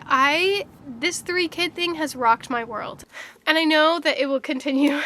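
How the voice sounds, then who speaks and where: A woman's voice: high voice, bright, forceful tone, a little hiss or hum. A woman, a mother of three, chatting candidly to camera in a casual vlog, slightly congested and nasal, laughing often.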